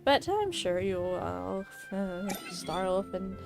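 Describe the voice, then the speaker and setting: Casual female game streamer, chatty and giggly, clear background music. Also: mid-range voice, very animated, slow pace. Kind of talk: casual livestream chat about a game.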